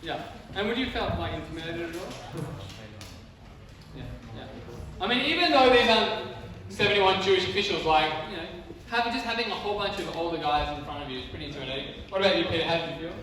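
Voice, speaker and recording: A high-pitched man gives an informal youth group talk in a conversational way. The sound is heavily echoey and distant from the mic, with faint noise behind it. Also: fast talker, crowd noise. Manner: conversational, addressing the group with questions.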